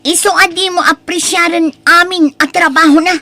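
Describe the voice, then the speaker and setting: Woman acting stern high-voiced Ilocano wife. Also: steady tone, normal speed, sharp tone, faint music behind, exaggerated voice. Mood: angry, accusing. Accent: Ilocano Filipino. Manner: scolding, emphatic. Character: stern, nagging Filipino wife and household mistress. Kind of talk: radio-style audio drama acting.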